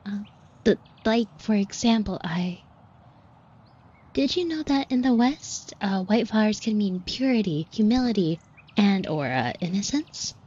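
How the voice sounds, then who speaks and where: A woman's voice: medium pitch, lively intonation, measured pace, warm tone. A woman playing a shy, flustered florist who talks to the listener in a hesitant, colloquial, self-deprecating way in an audio roleplay. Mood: nervous, rambling. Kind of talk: scripted roleplay acting addressed to the listener. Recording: dull muffled audio with clear noise. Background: shop ambience sound effects.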